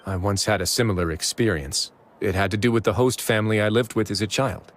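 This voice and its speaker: Calm grown-up warden guy, low and steady, a man voicing him, soft game music under it. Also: brisk, lightly acted. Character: calm adult male prison warden, composed. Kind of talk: game voice acting.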